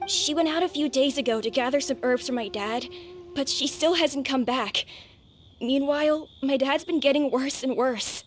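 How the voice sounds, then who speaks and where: A woman's voice: high-pitched, moderate pace, faint music behind, close to an everyday voice. A woman playing an earnest, pleading little boy with a childlike voice in a video game. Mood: worried. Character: earnest little boy worried about his parents.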